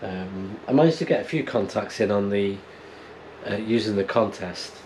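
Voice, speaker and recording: A man with a British accent chatting casually to his vlog in an unhurried, rambling, understated way. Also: on the low side. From United Kingdom. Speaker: amateur radio hobbyist and vlogger. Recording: clear steady background noise.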